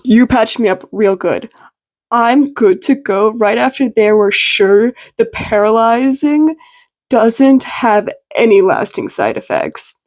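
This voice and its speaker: A woman's voice: fairly high pitch, animated, slow pace, a little mellow. A woman voicing a friendly, casual, teasing male masked vigilante in a story reading. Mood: upbeat.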